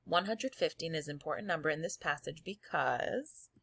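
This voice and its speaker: A woman with a slightly low voice, recorded close and dry. She works as an elementary teacher, reading aloud to her pupils and guiding them step by step. She addresses the class directly and encourages them as she goes. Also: normal speed, a bit bright, no room sound.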